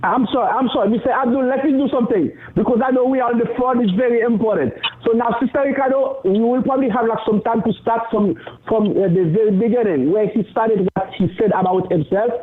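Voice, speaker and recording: High-voiced man moderating an echoey phone call. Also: fast talker, few pauses. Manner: directing, repeats himself, informal phrasing.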